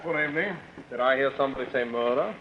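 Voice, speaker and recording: An actor playing a suave, intrusive stranger with a formal, faintly sardonic manner, in 1940s radio suspense style. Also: male voice, mid-range voice, fast, close to an everyday voice. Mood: wry, unruffled. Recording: muffled, noisy vintage radio recording.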